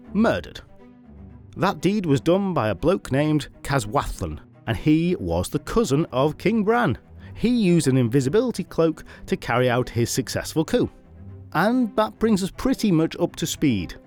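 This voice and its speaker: A man hosts a storytelling podcast, recapping an old legend with joking asides. His voice is lively and moves up and down a lot. Soft music plays behind him. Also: mid-range voice. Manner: wry, playful asides. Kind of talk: chatty retelling of myth.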